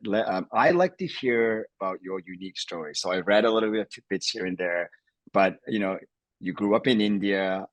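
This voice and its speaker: A man hosting an interview podcast, speaking in a halting way with frequent restarts. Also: fairly low pitch, no room sound, low-quality recording. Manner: halting, restarts words often. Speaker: podcast host, company CEO.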